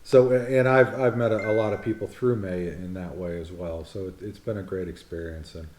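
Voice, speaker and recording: A man's voice: low voice, steady tone, moderate pace, darkish tone, roomy sound. A man in his fifties from the United States, co-hosting a casual vlog and chatting in a rambling, teasing way with frequent restarts. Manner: rambling, self-correcting, teasing. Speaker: vlog co-host. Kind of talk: casual chat.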